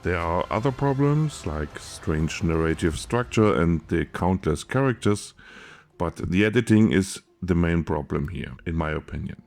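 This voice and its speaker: A man with a German accent, a film editor reading a scripted video essay in a careful, measured way. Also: deep voice, animated, darkish tone, faint music behind.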